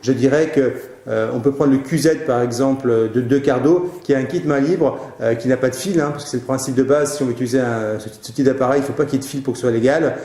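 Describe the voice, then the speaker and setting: A man with a dark, relaxed voice talks viewers through product advice. His delivery is casual and a little hesitant, and the sound is roomy with audible echo. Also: medium pitch, fast. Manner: hesitant, informal. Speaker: web show presenter answering viewers' questions.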